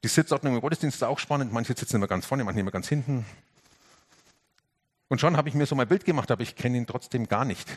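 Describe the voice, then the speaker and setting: A man giving a reflective sermon, earnest, rising to emphatic lifts and sinking to hushed, quiet passages. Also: medium pitch, fast talker, husky. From Franconia, Germany.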